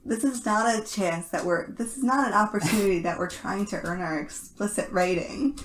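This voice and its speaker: A woman's voice: medium pitch, moderate pace, slight background noise. Playful woman podcaster in an echoey room. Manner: playful, self-deprecating. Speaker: podcast co-host. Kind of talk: casual chat and reading lyrics aloud. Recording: roomy, echoey sound.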